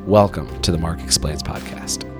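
A man in his thirties with a notably low, deep voice, hosting a podcast. He tells stories casually, wandering through details with plenty of "like" and "bro". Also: moderate pace, loud music behind the voice. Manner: rambling storyteller, lots of fillers and "bro". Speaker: podcast host. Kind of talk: casual conversation.

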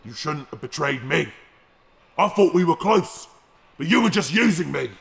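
An actor playing a bitter, wary underworld arms dealer in an audio drama, with a gravelly voice. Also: male voice, fairly high pitch, expressive, moderate pace. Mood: bitter, hostile.

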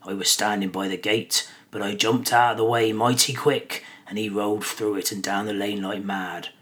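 A man's voice: on the low side, fairly even intonation, normal speed, a bit bright, close to an everyday voice. A man voicing a suspicious, grumbling male hobbit farmer who talks in folksy rustic dialect. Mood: grumbling storytelling.

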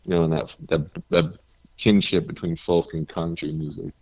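A deep-voiced man from New Jersey, a guest musician chatting casually and telling stories with easy laughs. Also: normal speed, creaky, darkish tone, slightly rough, dry recording, dull audio. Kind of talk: casual interview chat.

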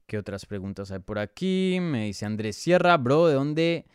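Clear-voiced Colombian man, dry close sound. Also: mid-range voice, big pitch swings, normal speed, no room sound. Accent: Colombian, Bogotá. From Bogotá, Colombia. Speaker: podcast host and MMA analyst. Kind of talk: casual live sports preview, answering viewer questions.

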